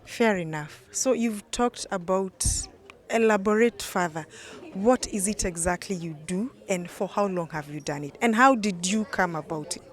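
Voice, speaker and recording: A woman's voice: mid-range voice, normal speed. A woman with a Kenyan accent presenting and interviewing as the host of an online news channel.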